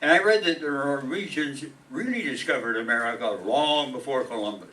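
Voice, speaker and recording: Guy doing know-it-all relative in radio comedy, deliberate, bright voice, faint hiss and distant. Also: mid-range voice, natural voice. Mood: matter-of-fact. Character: know-it-all male relative reciting history facts. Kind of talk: radio comedy play acting. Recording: noisy, distant stage microphone.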